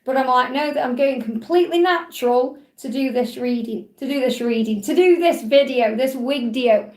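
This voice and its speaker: High-voiced chatty woman in her forties. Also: moderate pace, a little mellow. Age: 40s. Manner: chatty, upbeat, reads viewer comments aloud. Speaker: livestream host. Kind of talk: casual live chat. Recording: echoey room.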